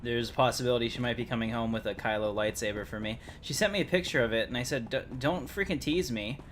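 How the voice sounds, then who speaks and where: A man's voice: mid-range voice, fast talker, a bit bright, slight background noise. A man streaming a game, talking casually in a rambling, informal way. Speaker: game livestreamer. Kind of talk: casual livestream chat and commentary.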